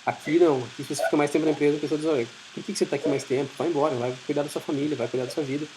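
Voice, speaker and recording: A man's voice: on the high side, fairly even intonation, rapid-fire, a little mellow, slight background noise, poor audio. A man with a Brazilian Portuguese accent giving an informal, explanatory talk in a conversational way. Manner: conversational, explanatory, acts out short imagined dialogues. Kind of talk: informal presentation.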